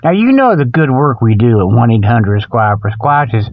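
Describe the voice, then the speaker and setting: A man's voice: mid-range voice, big pitch swings, moderate pace, no room sound, highs cut off. A man hosting a rambling comic radio monologue in a folksy, colloquial, mock-scolding way, full of okays and you-knows. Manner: folksy, colloquial phrasing with frequent "okay" and "you know". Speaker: radio show host.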